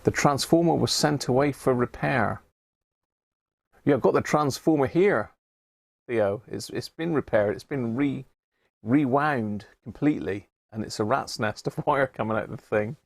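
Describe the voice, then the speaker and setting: Gravelly-voiced Englishman chatting casually. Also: mid-range voice, moderate pace, no echo, clean studio sound. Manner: rambling, chatty, thinking aloud. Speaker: host of a hobby electronics live stream. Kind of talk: casual live-stream chat while working at a bench.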